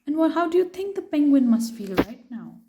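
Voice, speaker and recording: A woman reading a picture book aloud to children in an expressive, unhurried way, pausing to put questions to her young listeners. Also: high-pitched, muffled recording, background noise.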